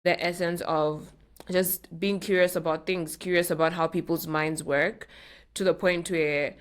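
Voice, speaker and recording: A woman's voice: fairly low pitch, steady tone, unhurried. A Kenyan woman hosting a podcast solo, talking casually and candidly to her listeners in a playful way. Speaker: podcast host.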